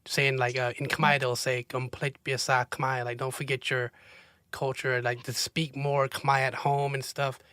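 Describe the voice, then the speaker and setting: A man's voice: medium pitch, little pitch movement, a little slow, pushed and bright, clear, resonant tone, dry recording, studio quality. A man hosting a podcast interview, chatting enthusiastically with frequent fillers. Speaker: podcast host. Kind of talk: casual interview conversation.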